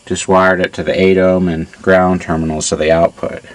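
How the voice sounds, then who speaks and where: A man giving an informal hands-on technical demonstration in a halting, matter-of-fact way, as a repair hobbyist. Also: low voice, flat delivery. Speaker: amplifier repair hobbyist demonstrating his work.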